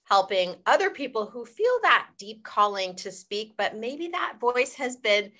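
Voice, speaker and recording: A woman in her forties introduces her coaching work with a bright, forward tone. She speaks earnestly and encouragingly, with light self-deprecating humor. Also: on the high side, very animated, unhurried. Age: 40s. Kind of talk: guest self-introduction on a call.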